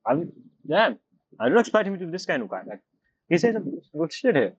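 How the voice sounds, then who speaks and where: A man giving casual, slangy reactions to a game story, with a husky voice. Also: a little high, very animated, a little fast, lo-fi sound. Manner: slangy, exclaiming interjections. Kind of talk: casual play-along commentary.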